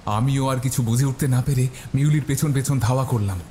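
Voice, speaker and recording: A man's voice: medium pitch, a little fast. A man narrating a scripted horror story in a dramatic style. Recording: loud music bed behind. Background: music.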